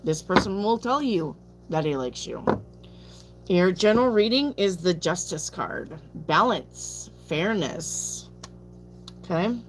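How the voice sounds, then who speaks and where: A woman giving a live tarot reading, slow and chatty, drifting into asides between cards. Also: on the low side, expressive, heavy background noise. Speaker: tarot reader. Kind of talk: live-stream card reading and chat.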